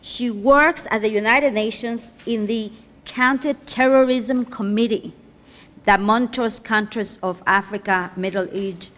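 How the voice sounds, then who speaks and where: A woman's voice: on the high side, steady tone, measured pace, fairly bright tone, big-room sound. A woman introducing an honoree at a ceremony, emphatic and asking rhetorical questions, with slightly off grammar.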